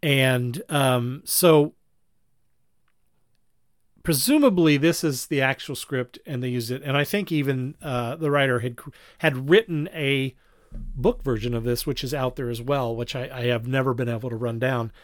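A man's voice: medium pitch, dry recording. Guy hosting a podcast, chatty n rambly, keeps correcting himself, close dry sound. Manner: halting, rambling, self-correcting explanations. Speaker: podcast host. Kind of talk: casual podcast discussion.

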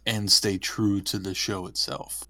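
A man's voice: on the low side, flat delivery, a little slow, sharp tone, dry recording. A man co-hosting a podcast, giving his opinions in a casual review chat. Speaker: podcast co-host.

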